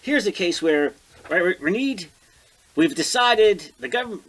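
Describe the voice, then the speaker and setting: A man's voice: high-pitched, expressive, normal speed, fairly bright tone, a little hiss or hum, low-quality recording. An American man co-hosting a podcast, discussing politics casually with sardonic rhetorical questions. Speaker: podcast co-host.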